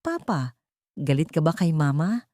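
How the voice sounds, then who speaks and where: An innocent, loving little girl in an audio drama, played by a woman narrator. She talks earnestly, in a voice rather low for the part. The sound is dry and close. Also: expressive, a little slow, warm tone, dry recording. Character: innocent, loving little daughter, recovering from illness.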